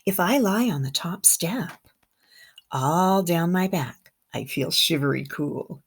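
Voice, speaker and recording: Woman, kindergarten teacher type, low register, slightly husky, expressive and animated storybook reading with conversational asides for little listeners. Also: takes it slowly. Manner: expressive, engaging young listeners with questions and comments. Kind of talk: children's picture-book read-aloud with chatty asides.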